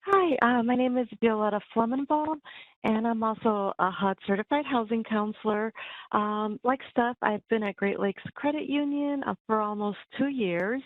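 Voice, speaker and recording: A woman's voice: a little high, moderate pace, dry recording. A woman housing counselor giving a webinar presentation, friendly, with many filler words. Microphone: video call. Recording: tinny phone-like line.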